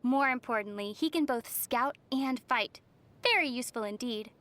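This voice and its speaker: A woman voicing a young female traveler in a video game, calm and playfully teasing, with wry delivery. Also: fairly high pitch, normal speed, natural voice.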